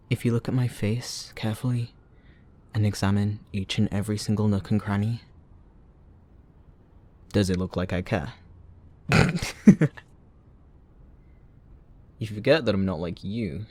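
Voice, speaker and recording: Supervillain for roleplay audio drama, male actor: low voice, unhurried delivery, theatrical, sarcastic, toying playfully with a captured hero. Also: darkish tone. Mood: callous bragging. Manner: mocking, playful, taunting, chuckling.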